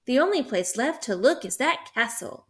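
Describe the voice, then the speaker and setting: A woman's voice: fairly high pitch, big pitch swings, normal speed, husky. A woman voicing a hopeful little boy looking for his father in a storybook read-aloud. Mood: earnest.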